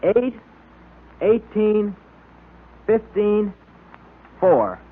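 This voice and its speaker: A man's voice: high-pitched, big pitch swings, very slow, full character voice. A male performer playing an earnest boy club leader in 1930s radio, declaiming eagerly. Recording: muffled vintage 1930s radio recording.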